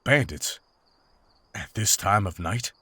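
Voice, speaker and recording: Man as weary monster hunter, deep voice, grave, terse, formal, fantasy visual novel. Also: animated, slow, pushed and bright, breathy edge, no echo, full character voice. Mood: grave, measured. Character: stern, principled monster hunter, weary and commanding.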